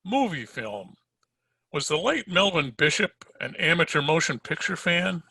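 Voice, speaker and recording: Man playing a bright-voiced hardboiled private eye. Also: a little high, sing-song, a little slow, creaky, breathy edge, dry recording, exaggerated voice. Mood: wry, unflappable. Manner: wry, tough-talking, sardonic. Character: wisecracking hardboiled male private detective, unflappable. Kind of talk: old-time radio detective acting and narration. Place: home recording over video call.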